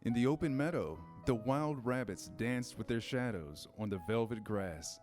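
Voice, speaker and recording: A man's voice: medium pitch, expressive, moderate pace, darkish tone, soft background music. A man reading scripted storybook narration in a staged reader's theater style.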